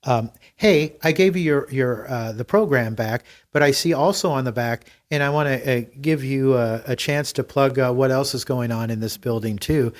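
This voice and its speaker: A man's voice: medium pitch, normal speed, hardly any pauses. A man hosting a podcast, chatting casually with his guests in an encouraging, appreciative way as he interviews them.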